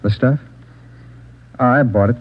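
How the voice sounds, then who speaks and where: Husky, stammering nervous drifter played by a man. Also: medium pitch, unhurried, natural voice. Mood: evasive, nervous. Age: 30s. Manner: stammering, repeats questions back, defensive. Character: nervous, evasive transient laborer drug addict, thirties. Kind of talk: 1950s radio crime drama acting. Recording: muffled vintage radio recording.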